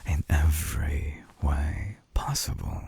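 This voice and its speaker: A man playing an obsessive, coolly clinical mad scientist in an intimate binaural audio roleplay, speaking slowly in a hushed, breathy near-whisper with long pauses. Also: rumbling low, exaggerated voice. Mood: coolly reasoning. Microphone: close binaural microphone.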